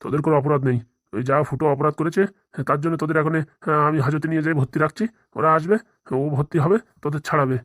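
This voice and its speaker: A woman's voice: sits low, fairly even intonation, very fast, dry recording, clean studio sound, natural voice. A woman voicing a bullying, threatening male police officer in a comedy cartoon, commanding and sarcastic. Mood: menacing. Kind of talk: Bengali comedy cartoon dialogue.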